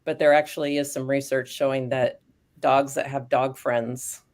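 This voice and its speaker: A woman speaking as a guest expert on aging dogs, explaining research in a thoughtful, casual way with frequent pauses and restarts. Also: a bass voice, level tone, darkish tone. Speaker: guest expert on canine cognitive decline.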